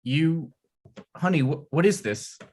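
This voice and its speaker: A man's voice: a little high, animated, takes it slowly, darkish tone, slightly rough, full character voice. A man reading the part of a struggling thirty-six-year-old TV writer at a table read, pleading and awkward.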